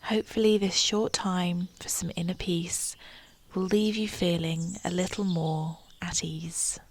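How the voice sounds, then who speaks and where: A woman leading a guided meditation in a soft, breathy, near-whispered voice, calm and soothing, with measured phrasing and long pauses. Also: lowish voice.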